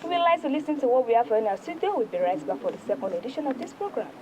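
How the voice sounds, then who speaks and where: A woman with a Nigerian accent presenting a television newspaper review, reading stories aloud in an emphatic, deliberate way. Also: on the high side, very animated, very noisy.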